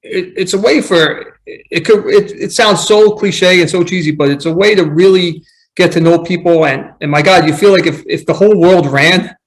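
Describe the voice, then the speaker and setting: A man's voice: sits high, moderate pace, low-quality recording. A man guesting on a podcast, talking earnestly and reflectively in casual conversation, often restarting his sentences. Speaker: podcast guest, running coach.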